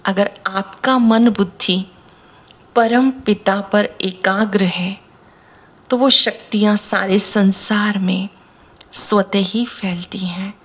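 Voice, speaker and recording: A woman from India leading a guided meditation as a spiritual teacher, speaking in measured, deliberate short phrases with pauses. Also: mid-range voice, husky. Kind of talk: slow guided meditation discourse.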